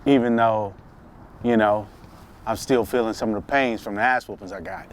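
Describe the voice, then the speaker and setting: A man in his twenties from Texas, hosting a relaxed, open conversation with lots of fillers and easy laughs. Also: lowish voice, moderate pace, a little hiss or hum. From Dallas, Texas. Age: 20s. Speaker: host. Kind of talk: casual conversation.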